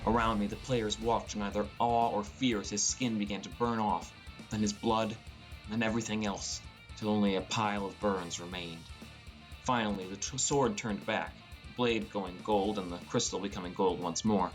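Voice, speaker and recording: A man with a fairly low voice narrates a first-person fantasy story for an audiobook. Plucked guitar music plays clearly behind his scripted reading. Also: fairly even intonation, normal speed, rough recording. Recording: music bed under voice. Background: prominent plucked guitar music.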